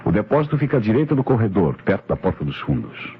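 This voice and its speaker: A Brazilian man playing a methodical, authoritative police inspector in an old radio mystery, explaining in a deliberate, declamatory way. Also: fairly low pitch, very animated. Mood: assured, expository. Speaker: radio drama actor. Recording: dull, noisy vintage radio recording.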